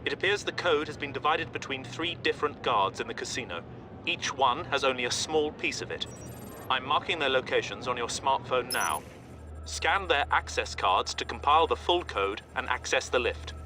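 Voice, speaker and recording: An actor playing an efficient agency handler, formally instructing an agent in a video game. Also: male voice, mid-range voice, animated, normal speed, over music, close to an everyday voice. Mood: businesslike. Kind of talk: game mission briefing.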